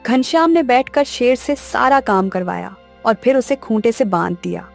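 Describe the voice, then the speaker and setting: A woman's voice: a little high, expressive, normal speed, creaky, soft background music. A woman narrating a scripted children's moral story.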